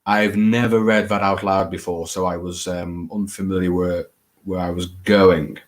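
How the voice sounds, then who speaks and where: A man with a fairly low voice and a Scouse accent chats casually to his viewers. He rambles and hesitates, putting himself down and adding the odd theatrical flourish. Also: fairly even intonation. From England. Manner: hesitant, halting, self-deprecating, with theatrical flourishes. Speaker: host of a late-night livestream.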